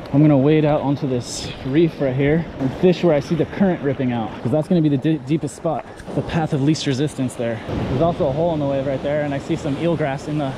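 High-voiced enthusiastic man over surf noise. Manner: enthusiastic, slangy, often repeats short phrases. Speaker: host of a fishing vlog. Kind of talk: casual running commentary while fishing. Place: outdoors on a rocky ocean beach, wading. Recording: noisy outdoor action-camera audio with surf. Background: waves and surf.

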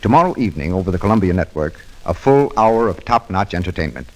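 Male American announcer, fairly low pitch, animated swings, formal and emphatic, 1930s radio style. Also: quick pace, low-quality recording.

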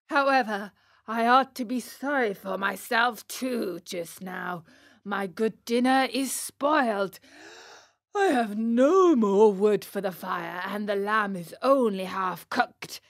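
A big, bearded bully of a puppet master from a children's storybook, acted out by a woman. The voice is loud and gruff, with slow, drawn-out orders and a soft heart underneath. The sound is close and dry. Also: no room sound. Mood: grumbling, softening. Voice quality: hoarse, booming. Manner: loud, commanding, drawn-out delivery.

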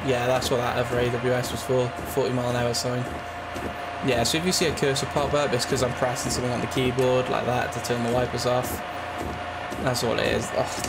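Hoarse guy over loud train-sim noise. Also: mid-range voice. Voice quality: slightly raspy. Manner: rambling, chatty, with frequent fillers. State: hoarse from a cold. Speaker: gaming channel host. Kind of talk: casual commentary over a train simulator.

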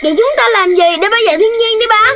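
An actor voicing an eager, curious young puppy child in a children's cartoon, excitable and inquisitive. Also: extremely high pitch, quick pace. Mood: earnest, curious. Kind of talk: animated children's cartoon dubbing. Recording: loud music behind.

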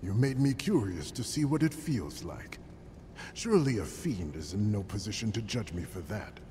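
A man playing a proud, anguished aging military commander in a video game, grave and dramatic, with a gravelly voice. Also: fairly low pitch, sing-song, moderate pace, warm tone. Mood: subdued. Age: older. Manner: grave, dramatic, brooding delivery. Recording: long reverb under music.

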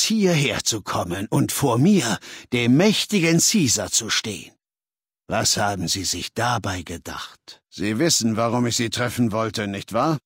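An actor playing an imperious, menacing warlord ruler in a video game, speaking in a commanding, condescending, deliberate manner. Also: male voice, medium pitch, husky, dry recording, clean studio sound, exaggerated voice. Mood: contemptuous, menacing. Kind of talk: video game dialogue in German dub.

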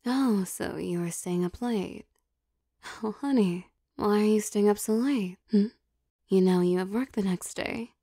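A young vampire girlfriend, acted by a woman in an intimate audio roleplay. She speaks slowly with a gravelly fry at the edges, teasing and possessive. The sound is dry and close, with soft, coaxing phrasing and hesitant pauses. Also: mid-range voice, no echo. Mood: tender, concerned.

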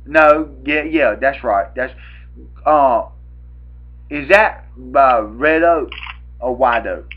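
A man's voice: medium pitch, takes it slowly, pauses often. A young man with a Southern US accent making a prank call, rambling, repeating himself and stammering. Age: young adult. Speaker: prank caller. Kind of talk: improvised prank phone call. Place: small room at home, indoors. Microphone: webcam at arm's length. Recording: dull, lo-fi webcam audio.

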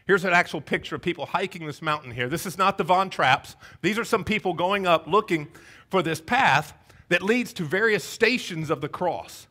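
Man, thirties, Southern American accent, pastor, energetic sermon, higher pitch, bright tone. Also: normal speed. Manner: energetic, joking, paces the stage with wide gestures. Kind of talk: lively Easter sermon. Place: church stage before a congregation.

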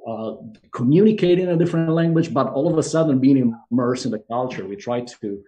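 A man's voice: medium pitch, fairly quick, mellow. A man speaking as a guest on a video call interview, hesitant with many fillers. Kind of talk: conversational interview.

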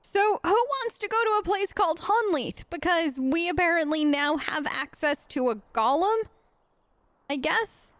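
A woman's voice: very high-pitched, animated, a little slow, fairly bright tone, dry recording. A woman doing casual, improvised role-play commentary as a let's play host, conversational, hesitant and often questioning.

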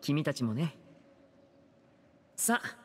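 A man playing a composed, kind young stage magician in an anime game, speaking in a measured, earnest and soft way. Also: fairly high pitch, big pitch swings, long reverb tail, full character voice. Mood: earnest, careful. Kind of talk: Japanese anime game dialogue acting.

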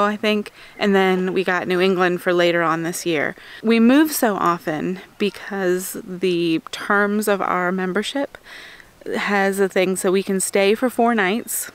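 A woman's voice: lowish voice, clean tone. A woman in her thirties, an RV travel vlogger, chatting casually to a camera held at arm's length outdoors. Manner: chatty, with fillers and laughs. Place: outdoors by a pond in a campground. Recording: faint outdoor noise.

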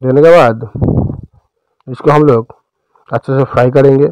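A man with an Indian accent narrating a recipe step by step as a cooking host, in a smooth, clear voice. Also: mid-range voice, fairly even intonation, measured pace, a little mellow, dry recording, low-quality recording.

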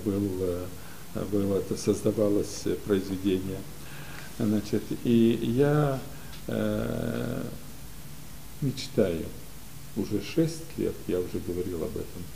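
An older man from Ukraine, telling stories as a guest in an interview, unhurried and full of pauses, recorded in a library studio. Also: fairly low pitch, gravelly, mellow, a little hiss or hum, low-quality recording. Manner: unhurried, many pauses and hesitations. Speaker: guest, community leader. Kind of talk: relaxed storytelling in an interview.

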